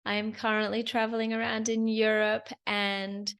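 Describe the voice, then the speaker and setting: A woman's voice: mid-range voice, flat delivery, normal speed, a bit bright, smooth. A young woman who has lost her voice, hosting a chatty solo business talk to the camera with repeated phrases. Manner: chatty, repeats phrases, lots of "you guys". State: hoarse, lost voice. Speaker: host.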